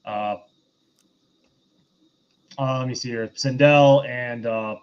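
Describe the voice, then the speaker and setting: A man's voice: medium pitch, steady tone, slow pace, smooth, lo-fi sound. A man hosting a casual film review, recapping in a loose, rambling conversational way.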